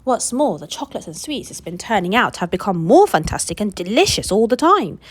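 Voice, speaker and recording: A woman voicing an old grandfather who tells a tale in a steady, confiding way, in a storybook reading. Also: mid-range voice, fairly quick.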